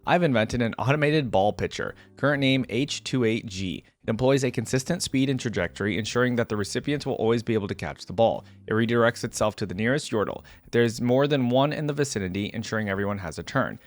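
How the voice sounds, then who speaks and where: A man speaks as the host of a casual reaction video, at a middling pitch. He moves between reading text aloud and offering his own chatty, conversational commentary. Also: quick pace, hardly any pauses.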